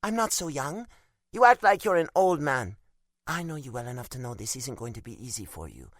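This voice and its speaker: A man's voice: medium pitch, animated, a little fast, no echo, clean studio sound, lightly acted. A man voicing a feisty young woman. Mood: earnest. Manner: scolding, teasing, frank. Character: feisty, blunt young woman, like a little sister.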